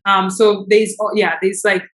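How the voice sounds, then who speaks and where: A woman's voice: medium pitch, flat delivery, moderate pace. A young woman from Tanzania, a business founder, talking casually in an interview with many fillers and restarts.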